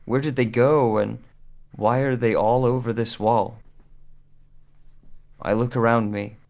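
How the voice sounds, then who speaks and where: A man playing a wistful amnesiac in an audio drama monologue, speaking hesitantly and deliberately with pauses. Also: lowish voice, gravelly. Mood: wistful, searching. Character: wistful amnesiac man searching a room of photographs.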